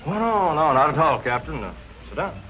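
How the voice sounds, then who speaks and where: Fast-talking, earnest noir sweetheart. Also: male voice, a little high, sing-song, highs cut off. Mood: politely uneasy. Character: earnest, reassuring male suspect comforting his sweetheart. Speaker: actor. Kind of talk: 1940s film noir acting.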